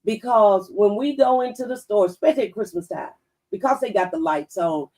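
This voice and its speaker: A woman's voice: medium pitch, a little fast, a little mellow. A woman chatting on a radio talk show. She drives her points home with plain, homely analogies and advice. She is picked up a little far from the mic, so her voice sounds set back. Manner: folksy, preachy, gives advice through plain analogies. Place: radio studio.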